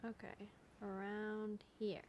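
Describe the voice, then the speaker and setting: A woman's voice: mid-range voice, little pitch movement, slow, darkish tone, slight background noise. A woman giving casual, playful let's-play commentary, muttering asides as she reacts to a game. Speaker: gamer, video creator.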